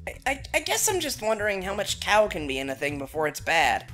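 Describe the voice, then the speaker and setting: A woman's voice: medium pitch, big pitch swings, fairly quick, over music, lightly acted. A woman voicing a shy young boy who stammers. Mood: nervous.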